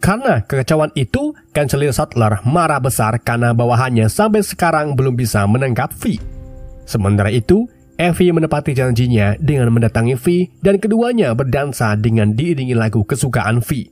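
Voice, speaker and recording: A man recaps a film in a lively, casual storytelling voice. His pitch rolls up and down freely. A head cold leaves him nasal and stuffed up, with faint music underneath. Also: normal speed, dry recording.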